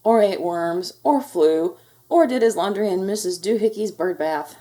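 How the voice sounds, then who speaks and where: A woman's voice: mid-range voice, expressive, normal speed, mellow, natural voice. Woman putting on a stern, scolding mother cat. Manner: stern, lecturing, emphatic. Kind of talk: children's storybook read-aloud.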